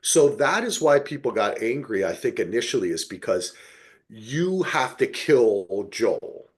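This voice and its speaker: A man talks as a podcast host in casual conversation. His voice sits at a medium pitch, and he explains things emphatically in loose, salty everyday language. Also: very animated, unhurried. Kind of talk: casual chat.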